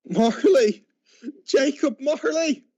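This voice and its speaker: A man's voice: very high-pitched, takes it slowly, warm tone, no room sound. A teenage male actor playing an anxious, grumbling old miser in a radio drama, stammering and repeating his words nervously. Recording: dull lo-fi amateur recording.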